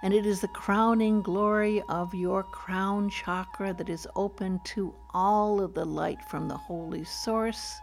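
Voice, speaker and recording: Slow, creaky-voiced woman guiding meditation. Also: medium pitch. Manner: soothing, gentle, unhurried. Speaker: guided meditation leader. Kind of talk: guided meditation, slow poetic narration. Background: soft music.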